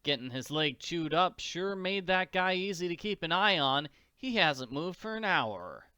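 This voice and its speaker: Man voicing a high-pitched, plucky little orphan girl. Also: big pitch swings, unhurried, sharp tone, clear, resonant tone, no room sound, studio quality, exaggerated voice. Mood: musing. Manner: slangy, exclamatory talk. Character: plucky little orphan girl, slangy, tender toward her dog.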